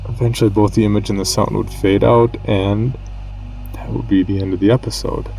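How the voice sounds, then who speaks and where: Male horror storyteller, lowish and fry-laden, solemn, deliberate, pausing for effect. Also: flat delivery, mellow, a little hiss or hum, rough recording. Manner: measured, grave, dramatic pauses. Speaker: narrator. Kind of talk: scripted horror story narration.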